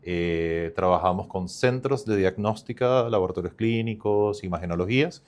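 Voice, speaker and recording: A man's voice: deep voice, moderate pace, a little mellow. A male startup founder, not from Chile, explaining his company at length in an upbeat podcast interview with many fillers.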